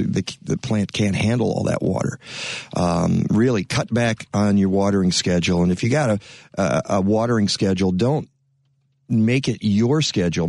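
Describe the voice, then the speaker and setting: A man's voice: lowish voice, no room sound, studio quality. A man hosting a radio talk show, talking casually with many fillers and restarts.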